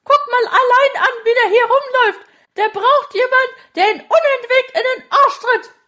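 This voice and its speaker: A woman's voice: very high-pitched, normal speed, sharp tone. A woman voicing a nagging, meddlesome middle-aged aunt who talks in a pushy, mocking way with laughs while scolding, in an amateur audio drama.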